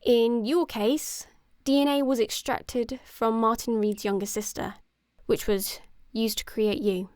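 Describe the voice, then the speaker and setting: Female expert character, played by an actress: slightly high, dry-sounding, measured and grave explanatory audio drama delivery. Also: steady tone, a little mellow, no echo, natural voice. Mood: matter-of-fact, grave. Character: composed, matter-of-fact woman briefing grim findings. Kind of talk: scripted expository dialogue.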